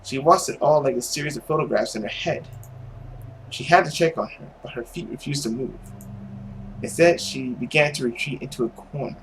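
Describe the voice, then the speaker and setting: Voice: medium pitch, fairly quick, slight background noise, rough recording. A narrator reading a visual novel aloud in a theatrical, suspenseful storytelling manner. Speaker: video game playthrough narrator.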